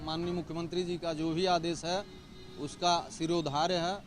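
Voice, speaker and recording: A man speaks as a news interviewee in a slightly high voice. Faint music runs behind his statement. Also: little pitch movement, normal speed. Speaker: interviewee giving news soundbite.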